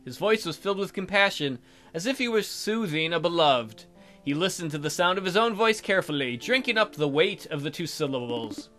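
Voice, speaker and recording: A man's voice: fairly high pitch, lively intonation, a little fast, sharp tone, faint music behind. A man hosting a let's play, reading game text aloud expressively and adding casual jokey commentary.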